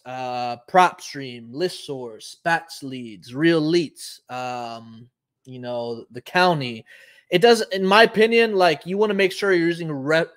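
A man's voice: on the high side, a bit bright. A man in his thirties from Puerto Rico, hosting a live show and explaining casually while thinking aloud with frequent ums. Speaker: host. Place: home office, indoors.

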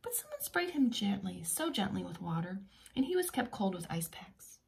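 Lady preschool teacher doing an expressive storytime read-aloud, explains stuff simply as she goes. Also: mid-range voice, normal speed, gravelly. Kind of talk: read-aloud of a children's picture book.

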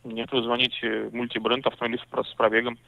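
A man's voice: medium pitch, completely flat delivery, fast, creaky. A man working as a used-car salesman, answering in a patient, businesslike way that turns mildly argumentative. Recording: tinny phone line.

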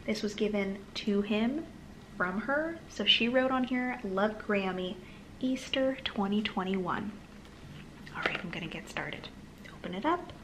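A woman reading aloud to young children in a sing-song manner with gentle asides. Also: medium pitch, expressive, a little slow, background noise. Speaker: children's storytime host and reader. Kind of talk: read-aloud storytime for toddlers, addressing young children. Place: small living room, on a sofa, indoors.

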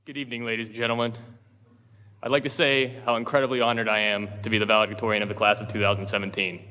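A man's voice: lowish voice, flat delivery, fast talker. A teenage boy giving a humorous graduation speech at a podium, with a dry, joking, lightly self-mocking delivery. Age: teens. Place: large school auditorium stage. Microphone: podium microphone, filmed from far. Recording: reverberant hall with soft music.

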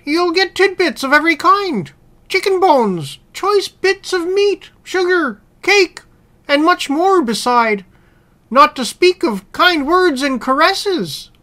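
Woman as a high-voiced smug house dog. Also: pushed and bright, heavily acted. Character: fat, well-fed, self-satisfied male house dog.

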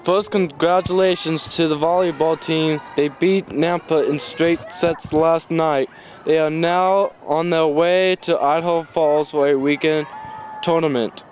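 Teen boy reading sports news outside by the field, slightly high voice, faint outdoor noise. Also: steady tone, a little slow, a little mellow. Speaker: student sports reporter. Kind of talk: scripted sports roundup.

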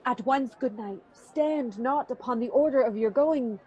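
A woman's voice: a little high, measured pace, darkish tone, husky, dull audio. A woman playing a firm, commanding queen. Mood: urgent. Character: Lady Macbeth, a cool, commanding queen. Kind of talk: radio drama acting.

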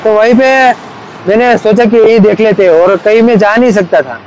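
A young man from Gujarat, a cricket fan, talking eagerly and haltingly in a casual outdoor street interview. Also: high voice, a little mellow, poor audio. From Gujarat, India. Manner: eager, halting, with many restarts.

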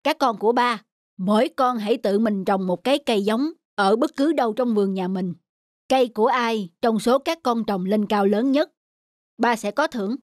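A woman's voice: fairly high pitch, normal speed, no echo, clean studio sound, lightly acted. A woman voicing a caring middle-aged father, patient and encouraging, in a children's cartoon. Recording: music behind the voice.

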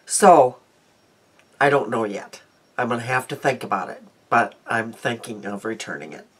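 A woman's voice: a bass voice, moderate pace, a little hiss or hum. A woman in her fifties chatting casually about her day and makeup in a rambling daily vlog, sounding congested, recorded on a close webcam in a small room at home. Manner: chatty, rambling, frequent ums. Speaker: beauty vlogger.

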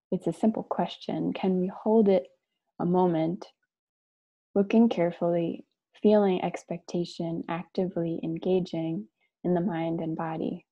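A woman leading a guided meditation, speaking and reading aloud in a gentle, measured way with long pauses between short phrases. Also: on the low side. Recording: dull lo-fi online call audio.

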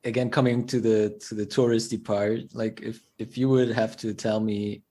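A man with a somewhat low voice hosts a podcast interview. He asks his questions in a friendly, easygoing way, laughing readily and pausing on ums. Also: level tone, mellow. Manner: friendly, laughs easily, hesitant um. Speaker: podcast host.

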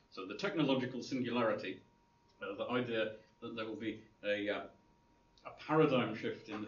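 A man lecturing to a class in a loose, rambling way, full of ums and restarts, with a dry humor. He sounds distant and roomy, as if caught from across a hall. The recording is dull and lo-fi, with a faint hiss. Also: mid-range voice. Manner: rambling, hesitant, many fillers and restarts. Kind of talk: informal lecture with slides.

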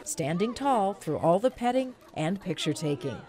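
A woman reporter reading a measured, upbeat news package voice-over. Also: mid-range voice, animated, a crowd in the background.

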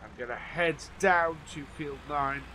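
A man's voice: on the high side, measured pace, noisy background. A man with a slightly nasal, stuffy voice from hay fever, talking casually through a farming simulator role-play as he works. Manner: easygoing, thinking aloud as he works. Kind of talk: casual commentary while playing.

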